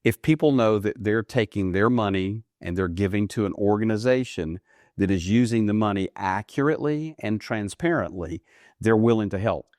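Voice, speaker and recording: A man in his fifties from the United States, answering interview questions earnestly, often rising in pitch for emphasis. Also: normal speed, a little mellow, dry recording, clean studio sound. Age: 50s. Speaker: guest, ministry leader. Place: studio.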